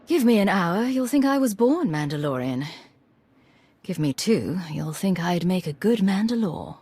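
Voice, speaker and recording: An actress playing a composed, confident military commander in a video game, assured and deliberate, with a husky voice. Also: female voice, mid-range voice, big pitch swings, a little mellow, no room sound. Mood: cocky.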